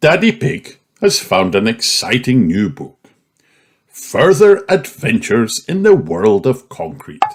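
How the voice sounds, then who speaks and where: A man's voice: mid-range voice, wildly swooping pitch, takes it slowly, husky. A man narrating a children's storybook from a script as an audiobook reader.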